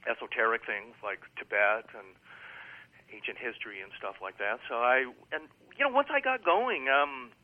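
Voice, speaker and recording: An older American man, a guest author in a phone interview, talking in an animated, emphatic, rambling way with many fillers. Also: mid-range voice, moderate pace. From United States. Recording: tinny phone line.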